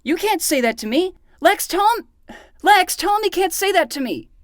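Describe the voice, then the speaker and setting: An actor plays a self-important twelve-year-old boy sleuth in an audio drama. He is stubborn and quick to take offence, and his pitch jumps around a lot. The sound is dry and close. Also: sing-song, no room sound. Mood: indignant. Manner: petulant, self-important, argumentative. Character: stubborn, bossy, indignant 12-year-old boy playing detective. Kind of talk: scripted fiction podcast acting.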